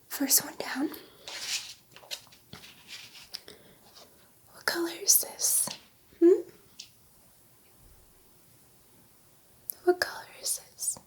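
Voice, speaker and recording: A gentle alien scientist, played by a woman, whispers through an ASMR roleplay in a high, feminine voice. She is curious and soothing, taking her time and softly repeating reassurances to her human test subject. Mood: soothing, encouraging. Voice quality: soft, breathy whisper.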